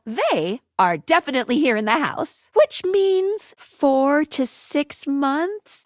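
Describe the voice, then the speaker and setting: A woman's voice: sits high, no room sound, studio quality. A woman voicing a perky, quirky, teasing young female guide in a video game, with a bubbly, playful, exaggerated manner. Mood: helpful, wry. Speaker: tutorial guide in a dating simulator game. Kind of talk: video game character voice lines.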